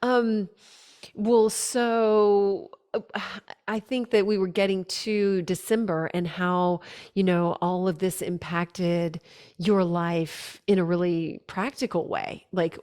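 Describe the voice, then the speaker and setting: Chatty woman with a lowish voice. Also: steady tone, slow pace, a little mellow. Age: adult parent. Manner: chatty, with frequent "you know" and "right?" tags. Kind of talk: casual conversational interview.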